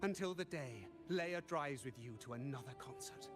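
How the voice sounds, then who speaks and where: An actor playing a grandiose, persuasive mastermind in a game, with a deliberate, oratorical delivery. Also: male voice, mid-range voice, animated, natural voice. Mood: grand, persuasive. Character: grandiose, persuasive male mastermind urging someone onward. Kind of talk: dramatic game voice acting. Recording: voice under prominent string music. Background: violin music.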